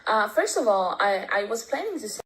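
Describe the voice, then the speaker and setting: A woman's voice: medium pitch, big pitch swings, a little fast, fairly bright tone, low-quality recording. A woman from Greece with a Greek accent, leaving a hesitant voice memo full of ums and restarts. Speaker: caller sending a voice memo. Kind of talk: recorded voice memo.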